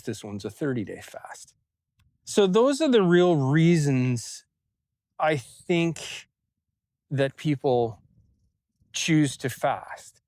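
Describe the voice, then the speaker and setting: A man speaks at an unhurried, halting pace in a casual, reflective vlog. His voice is mid-pitched and recorded dry in the open air, and his phrases often trail off. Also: dry recording. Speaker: vlogger. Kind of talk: casual personal vlog to camera. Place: outdoors on a small farm.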